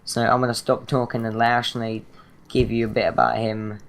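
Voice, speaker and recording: A teenage British boy, hoarse and croaky from a sore throat, introducing his gaming channel in a rambling, chatty way full of fillers and restarts. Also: mid-range voice, little pitch movement, a little fast, a little hiss or hum. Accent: British English. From Britain. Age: teens. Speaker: channel host.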